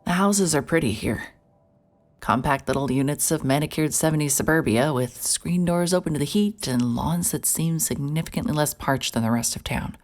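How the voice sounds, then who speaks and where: A woman's voice: low-pitched, normal speed, a little mellow. A woman narrating in scripted first person as a world-weary private investigator, dry and wry in tone. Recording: music bed under narration.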